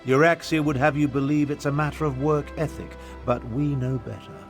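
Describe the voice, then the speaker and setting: A man's voice: on the high side, steady tone, brisk, over music, lightly acted. A man playing an eloquent, indignant royal half-brother in a video game, speaking in a formal, articulate, theatrical manner. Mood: scornful, persuasive. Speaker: guide. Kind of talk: scripted video game dialogue.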